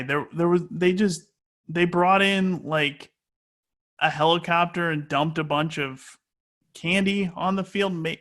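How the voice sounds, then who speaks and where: A man with a fairly high, bright voice gives casual interview answers. He sounds like a sports announcer off duty, hesitating and working out his thoughts aloud as he goes. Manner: hesitant, thinking aloud with frequent stalling.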